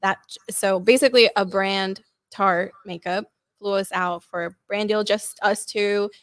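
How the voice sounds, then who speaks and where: Young female creator guest, lively casual storyteller, mid-range voice, dry sound. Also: mid-range voice, a little slow, no echo. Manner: chatty, animated storytelling with "like" fillers. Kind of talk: casual podcast interview.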